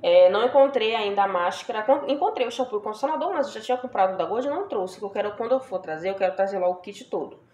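A woman's voice: mid-range voice, animated, racing, hardly any pauses, a little mellow. A young woman chatting casually about products she bought, asking her viewers for agreement every few lines. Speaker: beauty vlogger. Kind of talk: casual product haul chat.

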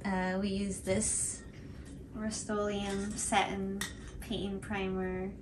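A woman's voice: medium pitch, level tone, takes it slowly, background noise. A woman, casually talking through a craft tutorial in informal, hesitant phrasing. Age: 20s. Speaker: cosplay tutorial host. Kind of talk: casual unscripted tutorial commentary. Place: indoor living room, seated on couch.

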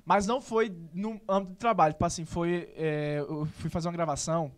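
A young man from Paraíba with a Northeastern Brazilian accent, telling his story animatedly as a guest in a small podcast studio. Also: a little high. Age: young adult. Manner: animated, quick storytelling with slang fillers. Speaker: guest artist. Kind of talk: casual interview storytelling. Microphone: studio mic on boom arm.

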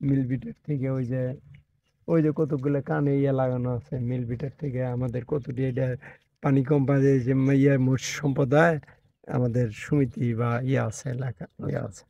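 An older man from Bangladesh, a buffalo herder answering questions outdoors in a halting, repetitive way. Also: medium pitch, fairly even intonation, creaky, dark tone, dull audio. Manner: halting, repeats words. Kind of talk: casual interview answers. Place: outdoors in a rural field.

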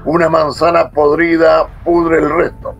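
Casting: a man as a slow, menacing, haughty banker villain. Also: on the high side, flat delivery, creaky, muffled recording, soft background music. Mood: scornful. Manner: menacing, scornful. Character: scheming English banker, haughty and contemptuous.

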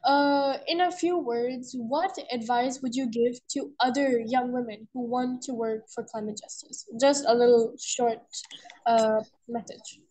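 A woman's voice: a little high, steady tone, measured pace, warm tone, poor audio. A woman moderating a panel on a call, politely handing turns to speakers. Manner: brief, polite turn-giving.

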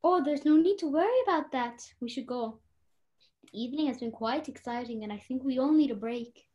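A woman's voice: high voice, a little fast, a little mellow, highs cut off, lightly acted. A young woman acting a commanding, scheming society lady in a radio play. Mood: breezy, dismissive. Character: Lady Eleanor, commanding, scheming society lady hiding her crimes.

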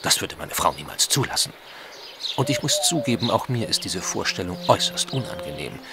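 An actor playing a proper, excitable Victorian country gentleman with a formal manner in an audio drama. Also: low-pitched, brisk. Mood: indignant, uneasy. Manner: formal, dignified. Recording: loud outdoor ambience with insects.

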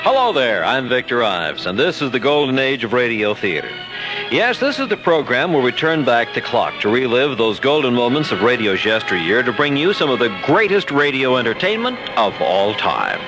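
Male radio host, scripted introduction, medium pitch, lively intonation, music behind. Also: moderate pace, rough recording.

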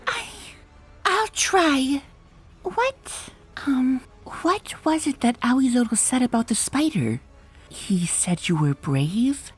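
A woman voicing a shy, timid young female pony who speaks softly and hesitantly. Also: high-pitched, very animated, slow pace, faint music behind, pitched above the performer's own voice, heavily acted. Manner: shy, hesitant, soft and breathy.

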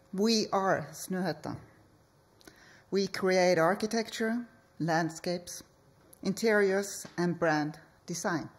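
A woman architect, giving a conference presentation from a stage podium in slow, measured phrases with deliberate pauses. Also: mid-range voice. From Norway. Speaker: architect and project leader.

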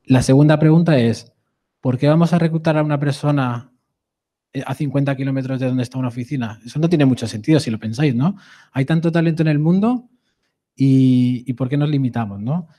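A man in his thirties speaks with a Madrid Spanish accent, presenting live to an audience in a relaxed, conversational way. His voice is warm and mellow, at a middle pitch. He often tags his points with a quick questioning "no". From Madrid, Spain. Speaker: conference speaker.

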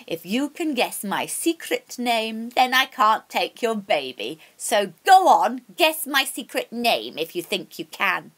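A woman storyteller voicing a wicked little old witch who demands things in a loud, grasping, gloating way. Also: fairly high pitch, sing-song, takes it slowly, hardly any pauses, heavily acted.

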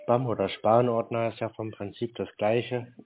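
A man's voice: medium pitch, fairly even intonation, moderate pace, faint music behind. A man on a phone call, talking in a dry, teasing way while stalling a caller. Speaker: prank call receiver. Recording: tinny phone line with faint noise.

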